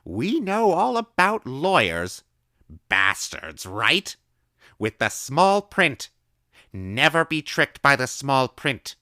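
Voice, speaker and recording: Guy putting on a high loud excitable recluse lady, slow and cryptic, dry close sound. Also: clear, resonant tone, no echo. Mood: excited. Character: eccentric middle-aged woman recluse, eager and cryptic.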